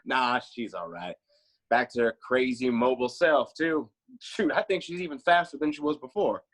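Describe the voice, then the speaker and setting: A man's voice: medium pitch, normal speed, fairly bright tone, close to an everyday voice. A man playing a playful, boisterous honky-tonk bar worker with folksy, exaggerated teasing, in a screenplay table read.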